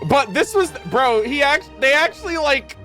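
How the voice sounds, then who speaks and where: A man's voice: extremely high pitch, animated, normal speed, bright, forceful tone, faint music behind. A man live streaming a game, chatting informally and jokingly with his viewers in slangy language. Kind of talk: casual game streaming chat.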